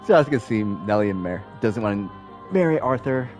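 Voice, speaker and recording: A man's voice: on the low side, lively intonation, moderate pace, darkish tone, faint music behind. A man casually recapping a visual novel for viewers, talking in a laid-back, rambling way with lots of fillers. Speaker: let's play host. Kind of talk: casual game recap commentary.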